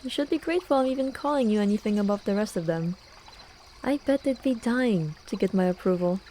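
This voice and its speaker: A woman's voice: medium pitch, animated, brisk, dark tone, a little hiss or hum, close to an everyday voice. A young woman acting a playful, teasing student friend in a casual, intimate ASMR roleplay. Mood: amused, teasing. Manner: casual, teasing, with sighs and chuckles. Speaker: voice actor. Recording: running and trickling water behind voice. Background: hot spring water ambience.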